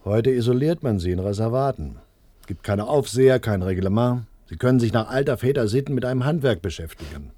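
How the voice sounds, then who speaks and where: A man's voice: mid-range voice, animated, brisk, mellow, no room sound, close to an everyday voice. A man playing a determined, rational and militant adult son in a radio play, explaining things insistently and matter-of-factly. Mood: reasoning.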